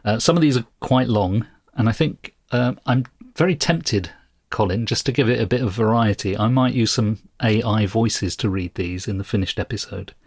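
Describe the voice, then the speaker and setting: Low-voiced man hosting a podcast, close and dry. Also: normal speed, husky, no echo. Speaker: podcast co-host. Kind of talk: casual podcast chat.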